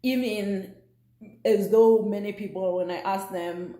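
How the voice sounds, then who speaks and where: A woman's voice: medium pitch, steady tone, a little slow, dark tone. A South African woman whose first language is Xhosa, chatting casually to camera as a vlogger, with lots of fillers and restarts. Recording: roomy echo.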